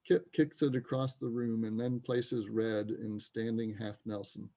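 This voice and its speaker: Creaky, mellow male narrator. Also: fairly low pitch, flat delivery, a little slow. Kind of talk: reading stage directions, scripted narration.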